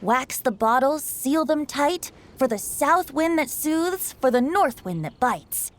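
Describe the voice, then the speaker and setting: An actor voicing a playful young bard who speaks in a lilting, singsong way in a game. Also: male voice, very high-pitched, lively intonation, slow, a bit bright, full character voice. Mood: singing verse. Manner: lilting, singsong, theatrical sighs. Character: playful young male bard, carefree and teasing.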